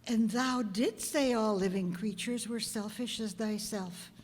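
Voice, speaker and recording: A messenger of God passing judgment in a radio play. The voice is fairly high and very slow, grand and archaic, with long reverb around it. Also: female voice. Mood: grave. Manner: solemn, grand, archaic pronouncement. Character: stern heavenly messenger of God pronouncing doom. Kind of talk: old-time radio drama acting. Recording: echoey hall with long reverb.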